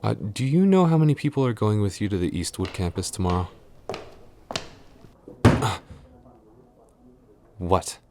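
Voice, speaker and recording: A man playing a shy, easily flustered tsundere boyfriend and office coworker in an intimate audio roleplay, with a halting, stammering delivery. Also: on the low side, very animated, background noise. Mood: casual, preoccupied, then exasperated.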